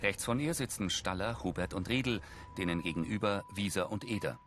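A man's voice: low-pitched, brisk, fairly bright tone, slight background noise. A man reading scripted audio description narration for a film. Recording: music behind the voice.

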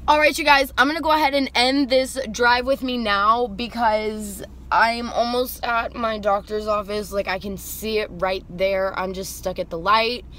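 A woman's voice: on the high side, steady tone, normal speed, hardly any pauses, fairly bright tone. A young woman with an American accent chatting casually and rambling in a sassy, foul-mouthed way while driving, recorded inside a moving car. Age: young adult. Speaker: vlogger. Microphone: camera on the dashboard, arm's length away. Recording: noisy car interior, road noise.

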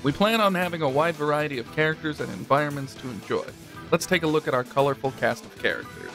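A man's voice: a little high, quick pace, fairly bright tone. A man presenting for a studio in scripted announcement narration. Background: music.

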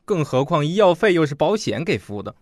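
An actor playing a cocky teenage student in a radio drama, sardonic and defiant. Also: male voice, a little high, very animated, a little fast, a little mellow, no echo, clean studio sound.

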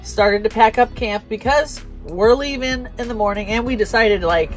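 A woman's voice: a little high, normal speed, soft background music. An American woman in her thirties giving a casual, conversational recap as a vlog host, talking inside a small camper.

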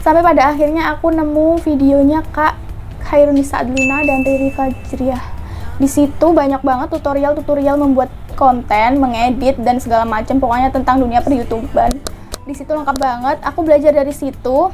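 A woman's voice: sits high, level tone, fairly quick, over music. A woman in her twenties from Central Java, chatting casually with her viewers and answering their questions on a clip-on mic at home. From Central Java, Indonesia. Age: 20s. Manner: chatty, often tags lines with "guys". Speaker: mukbang vlogger. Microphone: clip-on lapel mic.

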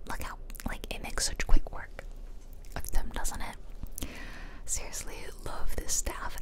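Whispering woman, ASMR gamer. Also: rough recording. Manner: playful, self-deprecating, rambling asides. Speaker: game streamer, ASMR creator. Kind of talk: whispered ASMR gameplay commentary. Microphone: close ear-to-ear ASMR microphone.

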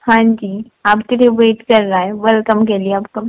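A woman's voice: mid-range voice, little pitch movement, unhurried, darkish tone. A woman chatting casually on a recorded phone call in a playful, teasing manner.

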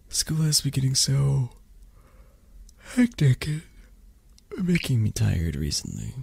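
Male actor for affectionate dragon boyfriend, ASMR audio roleplay; unhurried, expressive swings in pitch, breathy and playful. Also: no echo, exaggerated voice. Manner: soft, breathy, halting, cooing.

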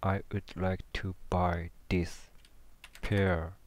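A man with a Japanese first language, talking slowly in a low voice into a headset, halting and sounding out words under his breath. Keyboard clicks and typing sit behind him in a small room. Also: little pitch movement. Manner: hesitant, sounding out words, muttering to himself. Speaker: language learner streaming practice. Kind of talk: casual self-talk while studying.

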